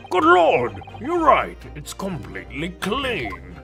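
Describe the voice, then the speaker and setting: Game judge, played by a man: stern, formal, gravelly, with big swings in intonation and music behind. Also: on the high side, huge pitch swings, slow pace, bright, forceful tone, pitched above the performer's own voice, exaggerated voice. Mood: astonished. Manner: formal, declamatory. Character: stern, formal courtroom judge, easily astonished. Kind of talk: video game character voice reading.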